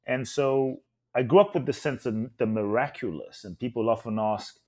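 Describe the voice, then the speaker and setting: A man's voice: medium pitch, moderate pace, dark tone. A man raised in London, a guest speaker on a podcast, telling his life story in an earnest and reflective way. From Ghana, raised in London.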